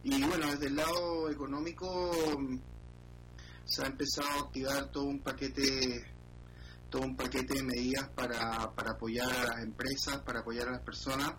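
A man with a Chilean accent, a financial columnist answering interview questions in a measured, hesitant way. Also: mid-range voice, little pitch movement, background noise. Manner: measured, hesitant, many fillers.

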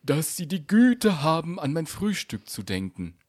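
A humble storybook merchant, played by a man, with a voice lifted high. He speaks earnestly and deferentially, timid and very courteous. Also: very animated, a little slow, darkish tone. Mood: grateful. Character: timid, polite merchant, humbly grateful and pleading.

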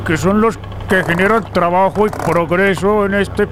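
Casting: male actor for cynical army commander, higher-set male voice, unhurried, condescending, audio drama with loud traffic behind. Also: lightly acted. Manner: condescending, self-justifying, chuckling contemptuously. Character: smug, menacing military commander, cynical and condescending.

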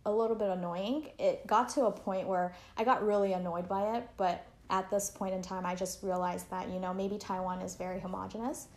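A young woman from Canada, chatting easily as an interviewee. She talks loosely and leans on fillers and likes. Her voice sits at an ordinary pitch, and the recording has a roomy echo. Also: steady tone, fairly quick, few pauses, darkish tone. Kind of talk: casual interview chat. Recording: echoey room.

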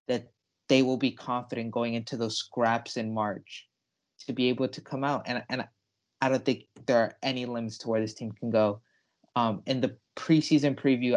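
A young man co-hosting a sports podcast, talking casually with emphatic, enthusiastic delivery. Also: mid-range voice.

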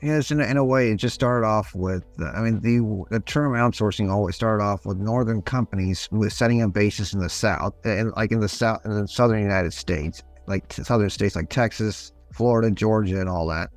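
A man's voice: lowish voice, moderate pace, few pauses, faint music behind. A man hosting a podcast interview, asking long rambling questions full of filler words. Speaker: podcast host. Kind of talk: casual interview.

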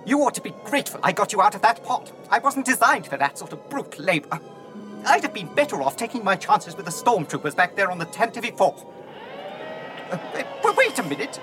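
A man's voice: sits high, very animated, brisk, over music. A man playing a fussy, anxious, self-pitying robot in a radio drama, prissy and theatrical in his complaints. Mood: indignant complaining, then alarmed, scolding. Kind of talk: dramatised radio science-fiction acting.

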